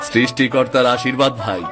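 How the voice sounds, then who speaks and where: A man acts a poor, earnest cattle farmer in a village cartoon. He has a rural eastern Bengali accent and a mid-pitched voice, with music clearly behind him. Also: close to an everyday voice. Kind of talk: cartoon dialogue.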